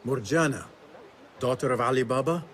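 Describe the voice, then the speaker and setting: An actor playing an earnest, loyal young male assassin in a video game, speaking in a measured way. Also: medium pitch, a bit bright, natural voice. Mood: curious, urgent. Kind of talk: video game cutscene acting.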